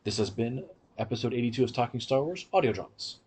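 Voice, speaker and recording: A man's voice: medium pitch, animated, fast, a little mellow, slight background noise. A man hosting a podcast, giving an explanatory, opinionated review in casual discussion. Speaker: podcast host.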